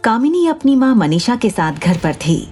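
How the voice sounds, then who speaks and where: A female narrator tells a scripted audio drama. Her voice is dark, mellow and husky, with faint music playing behind. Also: fairly high pitch, big pitch swings, normal speed.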